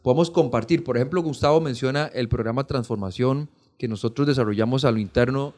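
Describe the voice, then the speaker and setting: A man's voice: mid-range voice, quick pace, a little mellow. Earnest Costa Rican man explaining things. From Costa Rica. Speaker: podcast guest, foundation programme representative. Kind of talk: conversational explanation on a podcast.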